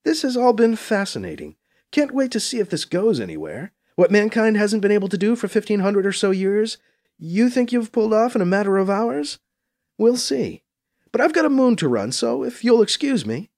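A man voicing a brisk, skeptical, independent woman governor in an audiobook, confident and dismissive. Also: high voice, very animated, a little mellow, pitched above the performer's own voice.

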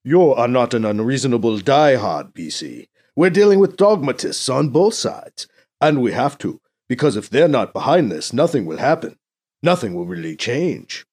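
A man voicing an exasperated, cautious church leader in an audiobook, earnest and gently challenging. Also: mid-range voice, sing-song, normal speed, slightly rough, voice pushed up. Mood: resigned.

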